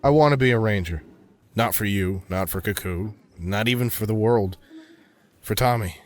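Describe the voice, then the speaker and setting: A man's voice: fairly low pitch, normal speed, natural voice. A man playing a bitter, grieving young man set on revenge in an audio drama, speaking bluntly. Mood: determined, angry. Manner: blunt, hostile, clipped.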